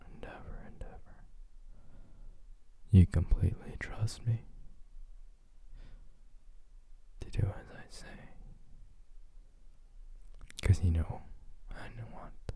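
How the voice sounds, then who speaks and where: A man acts out a possessive doctor hypnotist in an ASMR roleplay, whispering very low with a gravelly fry. He coaxes slowly and soothingly, gentle on the surface and quietly dominant underneath. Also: heavily acted. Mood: possessive, hushed. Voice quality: breathy, mostly whispered. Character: possessive yandere male doctor, gentle but controlling hypnotist.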